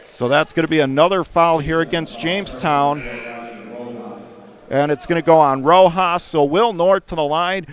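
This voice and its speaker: A man doing live radio play-by-play of a basketball game in punchy short phrases, getting excitable on big plays. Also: a little high, normal speed, a crowd in the background. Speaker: radio play-by-play sports announcer. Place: indoor school gymnasium during a game.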